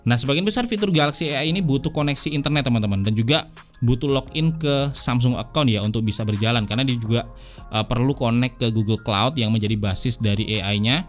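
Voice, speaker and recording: A man's voice: medium pitch, fast. A man with a Jakarta Indonesian accent narrating a tech review in a casual, conversational way, explaining things to viewers as friends. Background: background music.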